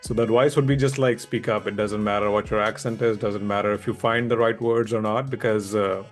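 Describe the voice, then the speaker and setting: Lowish-voiced man. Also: fairly even intonation, a little fast, faint music behind. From India. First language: Hindi. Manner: candid, reflective, laughs easily. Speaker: guest, public speaking coach. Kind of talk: conversational interview.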